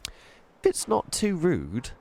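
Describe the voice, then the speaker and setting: Man voicing a nervous young girl, raised pitch. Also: huge pitch swings, takes it slowly, a little mellow, full character voice. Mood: timid, puzzled. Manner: hesitant, polite. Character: nervous, earnest twelve-year-old girl.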